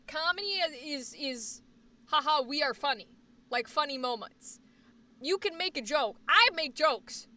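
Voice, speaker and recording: A woman's voice: high-pitched, bright, forceful tone, recorded from a distance. A woman hosting a casual livestream chat, talking in a rambling, emphatic, opinionated way, with a slight Boston accent. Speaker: livestream host.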